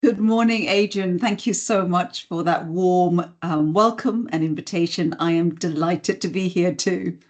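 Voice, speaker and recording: A middle-aged woman from Singapore, a voice and communications coach, telling her story as a guest in a podcast interview in a measured, articulate way. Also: fairly low pitch, darkish tone.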